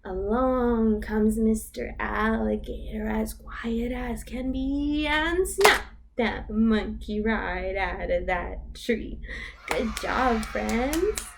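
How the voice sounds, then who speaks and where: A woman leading a storytime for babies as a children's librarian, reading in an exaggerated sing-song way with gasps and hushed whispers. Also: a little high, very slow, gravelly, heavy background noise.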